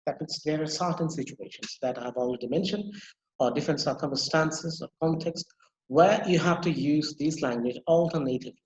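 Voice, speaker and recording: A man's voice: on the high side, moderate pace, roomy sound, a little hiss or hum, poor audio. A man lecturing online with a Bangladeshi English accent, a native Bangla speaker who restates points often with fillers. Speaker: university lecturer.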